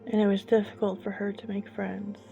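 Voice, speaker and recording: A woman narrating a scripted horror story in a slow, hushed, suspenseful manner with long deliberate pauses. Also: medium pitch, completely flat delivery, vocal fry. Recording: dull lo-fi, music bed behind. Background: background music.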